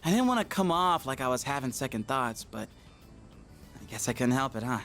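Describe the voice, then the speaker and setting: A man's voice: mid-range voice, brisk. An actor voicing a laid-back, goofy, self-conscious teenage boy with an American accent and slangy delivery in a video game. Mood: sheepish, downbeat. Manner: slangy, casual, animated. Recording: music behind the voice. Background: game music.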